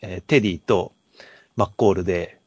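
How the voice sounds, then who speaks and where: A man co-hosting a podcast, talking casually about films in a halting, storytelling way. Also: low-pitched, a little mellow, slightly rough, dry recording. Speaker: podcast co-host. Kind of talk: casual film discussion.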